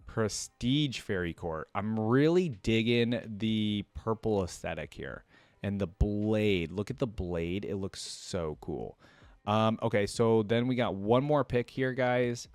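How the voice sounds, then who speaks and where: Man, low voice, dry close sound, reaction video host, casual commentary, informal, thinking aloud. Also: darkish tone, smooth, no room sound.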